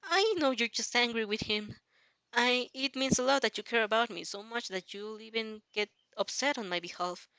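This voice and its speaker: A woman voicing a gentle, timid teenage boy in a fan fiction reading, earnest and hesitant. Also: on the high side, normal speed, smooth, no echo, poor audio. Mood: earnest, hurt.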